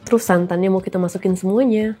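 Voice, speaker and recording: A woman's voice: mid-range voice, steady tone, quick pace, mellow, faint music behind. A woman in her thirties with a stuffy, nasal voice from a cold, chatting to viewers as she cooks at home. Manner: chatty, addresses viewers often. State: recovering from flu. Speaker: vlogger and mother. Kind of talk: casual cooking vlog.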